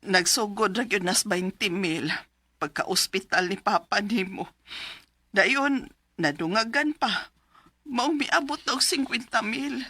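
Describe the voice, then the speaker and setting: A woman's voice: mid-range voice, takes it slowly, bright, forceful tone, slightly rough, no room sound, full character voice. A woman acting a middle-aged, anxious and pleading mother in a radio drama. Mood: worried. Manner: pleading, worried.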